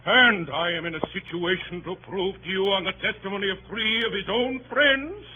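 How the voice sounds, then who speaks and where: An actor playing a pompous, melodramatic barrister addressing a jury in a theatrical, grandiose 1930s radio drama. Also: male voice, sits high, sharp tone. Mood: insistent, accusing. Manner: theatrical, grandiose, emphatic oratory with dramatic pauses. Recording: dull, noisy 1930s radio broadcast.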